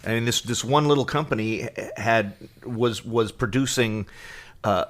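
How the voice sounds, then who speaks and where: A middle-aged man co-hosting a podcast, explaining things casually as an enthusiastic fan, often in halting lists. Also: medium pitch, fairly bright tone, a little hiss or hum. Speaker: podcast co-host.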